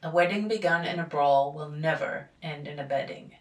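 A woman voicing a cold, cautious older man who speaks calmly in a storybook reading. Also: deep voice, reverberant, far from the mic, natural voice, poor audio. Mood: measured. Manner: calm, measured.

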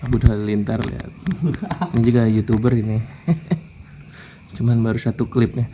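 A man's voice: mid-range voice, flat delivery, moderate pace. Man vlogging on an action camera. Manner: playful, coaxing talk to a toddler. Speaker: motovlogger. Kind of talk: casual handheld vlog chat. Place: outdoor porch of a house at night. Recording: dull camera audio with loud background noise.

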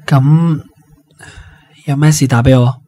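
An actor with a Hong Kong Cantonese accent playing a devoted, impatient young boyfriend in a radio drama, earnest and urgent. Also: male voice, mid-range voice, fairly even intonation, slow, dark tone. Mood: worried.

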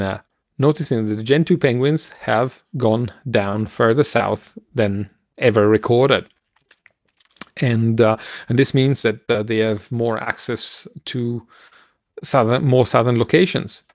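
A middle-aged man with a gravelly voice, co-hosting a casual science news discussion in a halting way, searching for words. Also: medium pitch, dry recording, studio quality. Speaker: podcast co-host. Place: home room, video call. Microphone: headset on a video call.

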